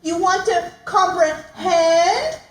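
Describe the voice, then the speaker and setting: A woman's voice: extremely high pitch, expressive, takes it slowly, heavily acted. A woman voicing a cheeky, mock-innocent giraffe in a children's puppet show, teasing playfully, recorded at a distance in an echoey room. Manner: teasing, playfully mishearing rhymes. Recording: roomy, distant mic, noisy.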